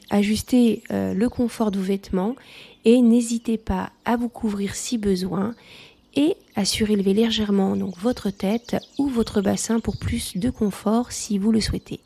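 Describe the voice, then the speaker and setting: A woman leading a guided yoga nidra relaxation, speaking softly in a calm, soothing manner. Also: medium pitch, normal speed, vocal fry, slightly rough.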